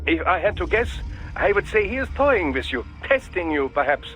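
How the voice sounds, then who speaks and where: Game doctor: high-pitched, pedantic, courteous, deferential, faint music underneath. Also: male voice, big pitch swings, muffled recording. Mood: musing, precise. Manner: halting, formal, deferential, pedantic. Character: polite, hesitant male cyborg doctor, scholarly and fascinated. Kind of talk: video game cutscene acting.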